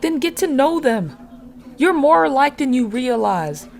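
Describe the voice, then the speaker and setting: Woman acting an earnest, lively-voiced female starship officer. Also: high-pitched, measured pace, creaky, exaggerated voice. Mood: earnest pleading. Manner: earnest, persuasive. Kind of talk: sci-fi audio drama acting.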